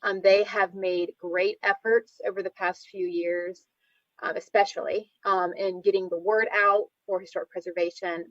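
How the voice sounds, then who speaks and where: A woman planner presenting informally at a public meeting in a halting, conversational way. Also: on the low side, no room sound. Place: remote online video meeting. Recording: dull, lo-fi online meeting audio.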